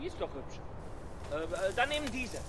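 An actor playing a timid, earnest, hesitant young scholar in a children's radio play. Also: male voice, very high-pitched, big pitch swings, a little fast, a loud crowd. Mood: hesitant, flustered.

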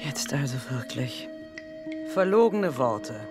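A menacing female intruder needles her victim in a radio drama. Her low voice swoops with insinuation, over faint music. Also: big pitch swings, takes it slowly, full character voice. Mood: taunting, threatening. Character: menacing, taunting female intruder who knows her secret. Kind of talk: radio play dialogue and second-person narration.

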